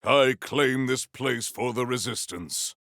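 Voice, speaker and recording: An actor playing a proud, formal sci-fi warrior in a video game, speaking in a grandiose, deliberate and earnest way. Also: male voice, medium pitch, a bit bright, no echo, studio quality. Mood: grand, defiant. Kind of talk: video game character voice lines.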